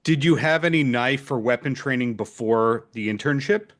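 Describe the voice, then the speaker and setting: Mid-pitched man hosting a video interview. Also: normal speed. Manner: friendly, curious questioning. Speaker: podcast host. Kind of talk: casual interview over video call. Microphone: video call.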